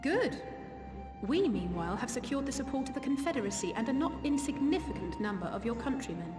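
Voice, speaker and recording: Brisk young noblewoman, echoey. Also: on the high side, sing-song, music in the background. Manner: businesslike, precise. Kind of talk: video game cutscene acting.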